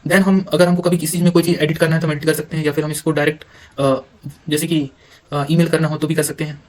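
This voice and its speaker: An Indian man giving an informal software walkthrough, halting at times and mixing English terms into his speech. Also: fairly high pitch, flat delivery. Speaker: software demonstrator. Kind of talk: informal screen-recorded tutorial walkthrough.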